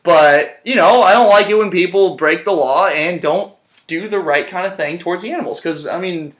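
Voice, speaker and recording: A man's voice: high voice, moderate pace, a bit bright. A young man in a hospital bed, chatting casually with lots of fillers and slang, recorded on a phone camera across the room. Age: young adult. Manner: laid-back, rambling, slangy with lots of fillers. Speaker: interviewee. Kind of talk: casual chat.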